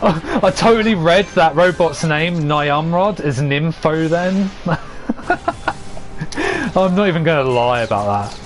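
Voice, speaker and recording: A young man giving rambling, casual commentary to his chat as he plays, laughing often at his own jokes and a little hoarse from losing his voice. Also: on the high side, very animated, slow, pauses often, a little hiss or hum. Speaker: streamer.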